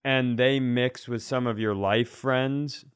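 A male comedian and podcast host telling stories casually, acting out bits in an animated, self-deprecating way. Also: medium pitch, takes it slowly, clear, resonant tone, no room sound, clean studio sound. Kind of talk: casual comic storytelling.

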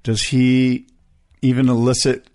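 A man's voice: mid-range voice, completely flat delivery, measured pace, darkish tone. A man co-hosting a sports talk podcast in casual, slangy banter, jokey and given to acting out imagined quotes. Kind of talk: casual banter about pro surfing.

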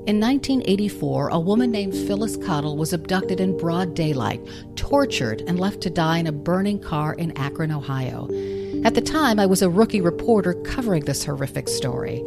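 Grave woman over loud music. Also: lowish voice. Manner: grave, measured storytelling. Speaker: true crime podcaster in a promo. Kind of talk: scripted promo read. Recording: promo with loud music underneath.

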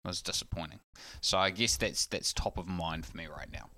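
Low-voiced man, bright and hesitant. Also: moderate pace, dry recording. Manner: hesitant, many restarts and fillers. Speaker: podcast co-host. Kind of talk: casual chat.

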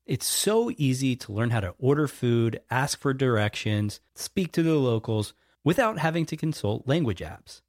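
Lively male ad read. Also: medium pitch, normal speed, dry recording, clean studio sound. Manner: bright, lively ad delivery. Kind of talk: sponsor read.

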